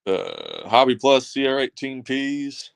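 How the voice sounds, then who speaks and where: A man chatting casually, eagerly swapping notes about his hobby. His voice is mid-pitched and very dry, with no room sound around it. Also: huge pitch swings, slow pace, vocal fry, smooth, dry recording. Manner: enthusiastic hobby talk. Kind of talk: casual chat.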